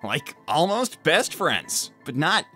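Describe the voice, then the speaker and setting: Woman voicing cocky teen male hedgehog, low and slow, brushes everything off. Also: very animated, pushed and bright, slightly rough, soft background music, exaggerated voice. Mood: flippant. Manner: flippant, teasing, dismissive. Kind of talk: fan-made cartoon voice acting.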